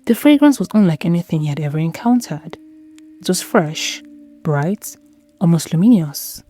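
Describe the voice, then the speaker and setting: A woman with a lowish, very mellow voice narrates gothic romance fiction. Her delivery is measured and solemn, set over a light music bed. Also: lively intonation. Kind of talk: dramatic scripted story narration.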